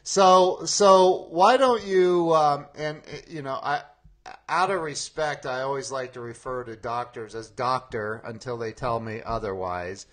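A man hosting a podcast in a casual, conversational interview style, speaking informally and haltingly with repeated phrases. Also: medium pitch, a bit bright. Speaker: podcast host interviewing a guest.

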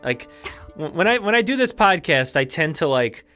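A man's voice: a little high, sing-song, normal speed, bright, forceful tone, faint music behind. A man with a New Jersey accent hosting a podcast in a casual, rambling, jokey and self-deprecating way. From New Jersey, USA. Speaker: podcast host.

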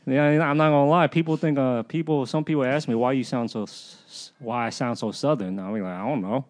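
A man who talks casually as a podcast guest, rambling and stumbling over his words. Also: mid-range voice. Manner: rambling, halting. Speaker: comedy producer, podcast guest.

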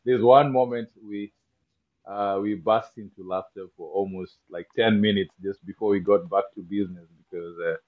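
A man with a Kenyan accent answers interview questions in a lowish voice, often stopping and restarting and breaking into easy laughter. The recording sounds dull and lo-fi. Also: steady tone. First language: not English. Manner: halting, many restarts, laughs easily. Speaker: guest. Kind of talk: interview conversation.

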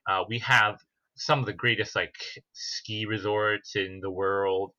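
A young man from Hong Kong, a pharmacy manager talking as a podcast guest, earnest and halting, with many filler pauses. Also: fairly low pitch, steady tone, sharp tone, no room sound. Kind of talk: casual podcast conversation. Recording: slightly dull lo-fi audio.

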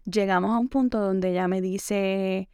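A young woman hosts a self-help podcast, talking things over in a loose, conversational way and reaching for the same filler word again and again. Her voice is smooth and even, with a gravelly edge at the ends of phrases. The recording is dry and close, with no room sound. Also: mid-range voice, a little slow, dry recording. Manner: conversational, leans on the filler "entonces" and slips in English words. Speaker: podcast host. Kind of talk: reflective self-help monologue.

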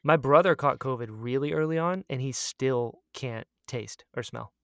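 A man's voice: mid-range voice, moderate pace, gravelly, fairly bright tone, dry recording, clean studio sound. A man co-hosting a podcast, chatting casually in a jokey, playful manner. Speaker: podcast co-host. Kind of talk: casual chat.

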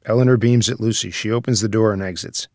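A man's voice: on the low side, fairly even intonation, fast talker, a little mellow, no room sound, clean studio sound. A man reading stage directions as scripted narration for a screenplay table read.

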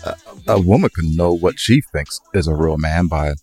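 Male co-host, low raspy register, laid-back slangy delivery, light music underneath. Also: normal speed, darkish tone. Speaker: podcast co-host. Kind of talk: casual chat.

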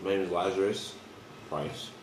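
A man's voice: sits low, steady tone, moderate pace. A man of nineteen co-hosting a podcast, teasing his friend in laid-back slang. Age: young adult. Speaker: podcast co-host. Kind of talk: casual chat. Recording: distant echoey noisy room sound.

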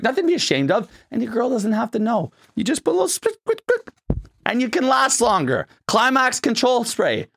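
Man, podcast host, brash and joking, slightly high voice, very animated pitch. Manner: brash, joking, crude banter. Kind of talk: casual chat with ad read.

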